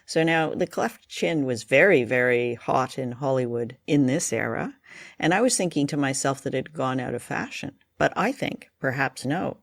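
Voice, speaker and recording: A woman's voice: very deep voice, expressive, moderate pace, vocal fry, dry recording, clean studio sound. A woman hosting a radio show and podcast in a conversational style.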